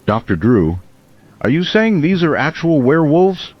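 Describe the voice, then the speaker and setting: A man's voice: fairly high pitch, very animated, a little slow, muffled recording. A man playing an earnest, concerned small-town radio host who stays steadfast on air in a disaster drama.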